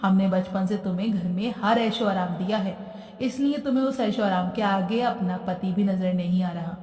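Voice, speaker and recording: A woman voicing a wise, caring middle-aged mother in a cartoon story, explaining in a calm, lecturing way. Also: medium pitch, moderate pace, pitched below the performer's own voice, close to an everyday voice. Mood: earnest. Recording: roomy echo, distant from mic.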